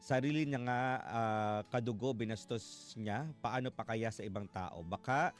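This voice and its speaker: A man's voice: fairly low pitch, normal speed. A man hosting a live radio talk show, reacting to callers and listeners in a conversational way. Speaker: radio host. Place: radio studio. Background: background music.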